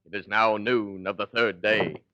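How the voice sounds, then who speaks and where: A man's voice: lowish voice, steady tone, measured pace, pushed and bright, slight background noise. A man acting as the earnest narrator of a mock historical saga, in formal 1940s radio style. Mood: solemn storytelling. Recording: dull 1940s radio recording.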